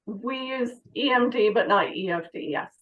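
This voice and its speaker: A woman's voice: fairly high pitch, animated, moderate pace, narrow, tinny audio, crowd noise. A woman guest answering interview questions, explaining how things work at her workplace in a conversational way. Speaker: podcast guest, fire dispatch manager.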